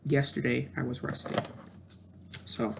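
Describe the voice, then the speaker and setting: A woman's voice: very deep voice, fairly even intonation, unhurried, creaky, background noise. A woman in her thirties talking candidly and introspectively in a reflective personal monologue, with frequent fillers. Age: 30s. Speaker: vlogger.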